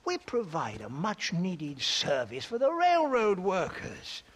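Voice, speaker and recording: High-pitched, blustering Englishman. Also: wildly swooping pitch, slow pace, fairly bright tone, exaggerated voice. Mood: giddy, defensive. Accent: British English. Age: middle-aged. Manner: blustering, stammering, pompous, tags phrases with "what?". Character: dissolute, jovial middle-aged English opium trader in the Old West. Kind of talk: video game cutscene acting.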